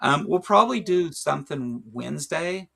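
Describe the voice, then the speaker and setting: A man's voice: fairly high pitch, expressive, normal speed, vocal fry, sharp tone. A man with a Kentucky accent co-hosting a casual livestream chat, rambling with lots of fillers and jokes. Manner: rambling, filler-heavy, joking. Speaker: podcast co-host.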